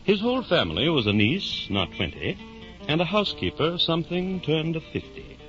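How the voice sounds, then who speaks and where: A man narrating a story in a measured, theatrical 1950s radio drama style. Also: on the high side, very animated, a bit bright, soft background music. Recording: dull vintage radio recording.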